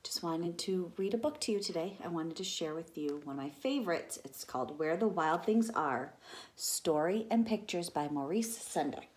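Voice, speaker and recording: A woman reading a picture book aloud to young children like a teacher, in an expressive, dramatic storytelling manner. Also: fairly low pitch, moderate pace. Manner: expressive, dramatic delivery with gasps and hushed asides.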